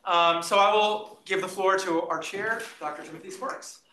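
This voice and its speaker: A man opening an event with halting, joking remarks full of fillers. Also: sits high, fairly even intonation. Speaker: event organizer. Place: lecture room. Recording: roomy with faint noise.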